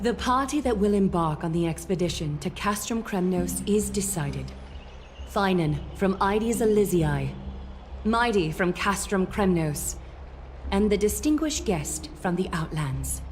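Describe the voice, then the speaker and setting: An actress voicing a solemn, regal demigod leader in a fantasy game, formal, measured and coolly composed. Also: female voice, mid-range voice, lively intonation, darkish tone, natural voice. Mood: ceremonial. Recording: game music behind the voice.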